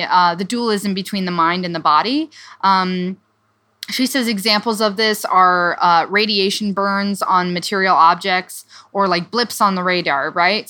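A woman's voice: medium pitch, bright, forceful tone. A young woman co-hosting a podcast, chatting casually as she summarizes a book, with lots of fillers and restarts. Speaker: podcast co-host.

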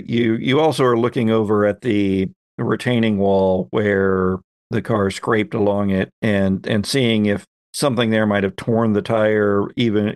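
A man acting as game master, improvising narration for a tabletop game and repeating phrases haltingly as he goes. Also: fairly low pitch, dry recording, clean studio sound.

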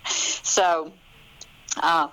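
An older woman with a Southern US accent chats casually as an interview guest, haltingly thinking aloud and correcting herself. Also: low-pitched, little pitch movement, pushed and bright, low-quality recording. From Memphis, Tennessee, US. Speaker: author, podcast guest.

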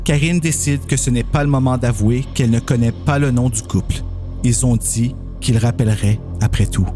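A man's voice: mid-range voice, normal speed, warm tone. Man narrating a story over music. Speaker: podcast host and narrator.

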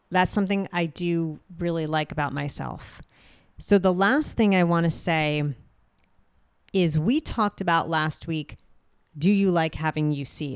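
A woman hosting a solo podcast, speaking directly and clearly with a booming voice. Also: no room sound. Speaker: podcast host.

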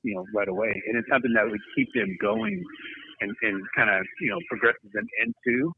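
A man's voice: mid-range voice, sing-song, a little fast, creaky, background noise. A man giving casual commentary as a panel guest over a tinny, narrow line.

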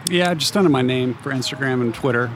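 A man's voice: mid-range voice, fast, a little hiss or hum. A man chatting as a podcast guest, talkative and laughing often. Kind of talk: casual chat.

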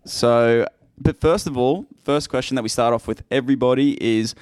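A man's voice: medium pitch, normal speed, a little mellow, slight background noise. A man hosting a podcast interview, encouraging his guest and laughing often. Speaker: podcast host. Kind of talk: casual interview chat.

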